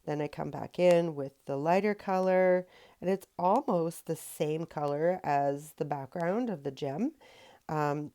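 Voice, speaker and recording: A low-voiced woman with a gravelly, mellow tone, a little raspy from a recent cold. She talks through a painting tutorial in a relaxed, chatty voice-over, full of ums and quick self-corrections. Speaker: rock painting tutorial host.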